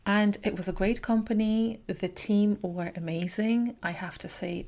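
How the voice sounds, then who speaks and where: A woman vlogger telling a personal story in an unhurried, reflective way, pausing between thoughts. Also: lowish voice, a little mellow. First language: not Spanish.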